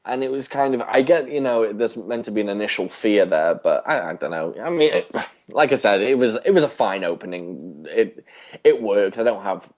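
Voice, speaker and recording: A man's voice: on the low side, lively intonation, normal speed, darkish tone, lo-fi sound. A man co-hosting a podcast, talking casually in hesitant, stop-start phrases. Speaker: podcast co-host. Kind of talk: casual discussion of a TV show.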